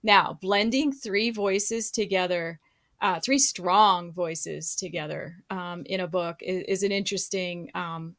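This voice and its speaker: A woman, a co-author on a podcast, speaking in a measured way with frequent fillers. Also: on the low side, a bit bright. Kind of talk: casual podcast chat.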